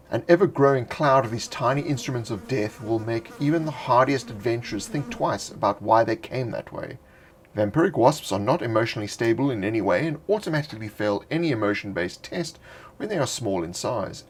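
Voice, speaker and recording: A man's voice: mid-range voice, slightly rough. A man reading a fantasy monster entry from a tabletop game book in a dry, playful storytelling manner with wry asides. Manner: louder and more excited in the closing address. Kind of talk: scripted narration of a fantasy bestiary entry.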